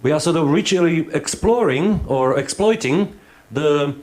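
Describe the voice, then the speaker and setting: A man's voice: on the high side, lively intonation, measured pace, darkish tone. A man who is not a native English speaker, giving a hands-on workshop lecture. He thinks aloud and halts often, restarting his sentences.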